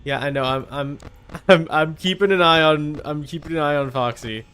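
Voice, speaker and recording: A man with a somewhat high-pitched voice streams a game with casual running commentary. He is chatty and talks to his chat in a playfully exasperated way. There is some noise behind his voice. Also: moderate pace, fairly bright tone. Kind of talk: casual live gameplay commentary.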